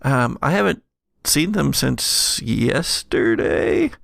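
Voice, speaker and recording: A man with a gravelly voice improvising narration as game master of a tabletop roleplaying game, in a halting, conversational way. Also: mid-range voice, wildly swooping pitch, fairly bright tone, dry recording, studio quality.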